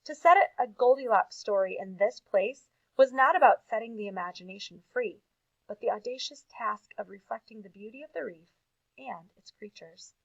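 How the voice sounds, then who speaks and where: A woman reading aloud to children as a teacher, with expressive emphasis and casual asides. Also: medium pitch, vocal fry, no room sound, lo-fi sound.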